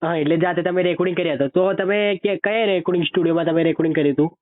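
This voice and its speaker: A man's voice: on the high side, racing. A man conducting a casual interview over the phone. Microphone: phone call. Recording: tinny narrowband phone line.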